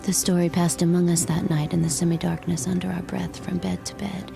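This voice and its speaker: Actress as a weary narrator, low and gravelly, music underneath. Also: female voice, little pitch movement, a little fast. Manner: tired, reflective, quiet narration. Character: weary, guarded young woman narrator.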